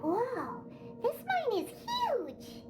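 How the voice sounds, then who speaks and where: A woman voicing a small, childlike floating game companion, squeaky, curious and excitable, full of wondering questions. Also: very slow, loud music behind the voice, exaggerated voice. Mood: excited, curious. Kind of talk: video game character acting.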